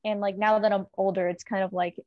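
A woman's voice: medium pitch, flat delivery, brisk, dry recording, highs cut off. A young woman, speaking casually in a student discussion.

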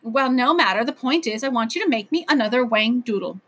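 A woman acts out a male royal creature from a children's storybook, bright and high-pitched. He is grand, excitable and imperious, with a lonely, insistent streak. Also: fairly quick. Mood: eager, regal. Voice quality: lisping.

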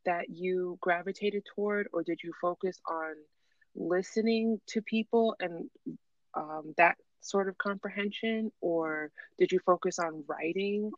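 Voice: medium pitch, a bit bright, no echo, lo-fi sound. A podcast host asking a guest long, winding questions. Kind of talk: interviewing a guest.

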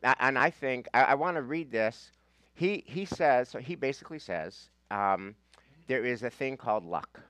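A man's voice: mid-range voice, animated, normal speed, fairly bright tone, no echo. A man with an American accent teaching a class as a rabbi, informal and emphatic, repeating phrases and posing rhetorical questions. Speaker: rabbi leading a Talmud class. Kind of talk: informal teaching talk with reading from a handout.

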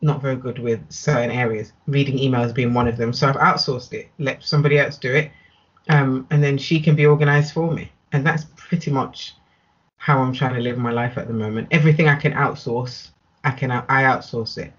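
A woman's voice: extremely low pitch, steady tone, brisk, husky, dull audio. A British woman speaking as an interview guest in a casual, candid and emphatic conversational way. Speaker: interview guest, business owner.

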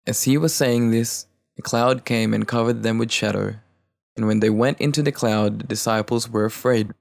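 A man reads scripture aloud in a fairly low voice. There is a clear creak running through it, giving the reading a gravelly edge. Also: steady tone, moderate pace. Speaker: volunteer scripture reader.

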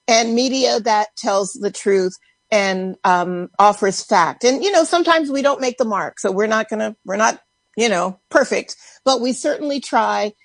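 A woman with a somewhat high voice speaks on a live radio pledge drive, recorded dry. She talks off the cuff in an earnest, measured way. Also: dry recording. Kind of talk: unscripted live fundraising talk.

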